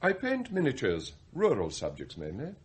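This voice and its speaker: An actor playing a scheming, deferential English butler in a radio drama, formal, unctuous and theatrical. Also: male voice, medium pitch, huge pitch swings, moderate pace, slight background noise. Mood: smoothly consoling. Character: scheming, outwardly deferential butler, secretly anguished and devoted. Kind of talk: radio drama acting, confessional narration and dialogue.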